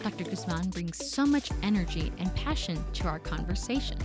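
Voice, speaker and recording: A woman's voice: fairly low pitch, animated, moderate pace, music in the background. A woman hosting a podcast, reading a formal guest introduction and then asking questions in an upbeat, friendly way. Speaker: podcast host. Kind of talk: scripted intro then casual interview.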